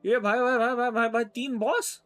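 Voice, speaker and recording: Very fast-talking Indian game streamer. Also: medium pitch, steady tone, fairly bright tone, soft background music. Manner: code-switches between Hindi and English. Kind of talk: casual live gameplay commentary.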